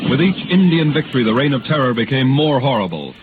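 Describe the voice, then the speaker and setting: Brief: male narrator, vintage 1930s radio drama, formal and theatrical, mid pitch, narrow tinny band over music. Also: very animated, fast talker. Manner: solemn, theatrical, formal delivery.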